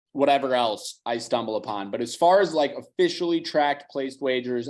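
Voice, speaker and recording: Slightly hoarse man, quick betting talk. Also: mid-range voice, a little mellow. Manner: fast, analytical, casual swearing. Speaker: podcast co-host. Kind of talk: casual betting chat.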